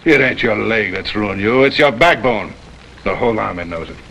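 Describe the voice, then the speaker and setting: Captive rebel gunman: lowish voice, hard, blunt, taunting; old western TV sound with slight noise. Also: lively intonation, creaky, bright, forceful tone, exaggerated voice. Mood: scornful. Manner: blunt, taunting, drawn-out menace. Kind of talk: 1950s TV western acting. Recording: noisy old TV soundtrack, rain-like hiss.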